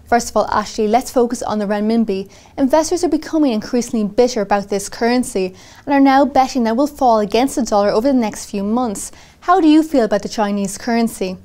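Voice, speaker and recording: A woman journalist putting scripted interview questions in a brisk, formal broadcast delivery. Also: a little high, a little mellow.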